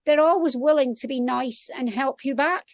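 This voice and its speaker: A woman's voice: sits high, moderate pace, dry recording, studio quality. A woman from Scotland with a Scottish accent, talking casually outdoors as a guest author on a panel.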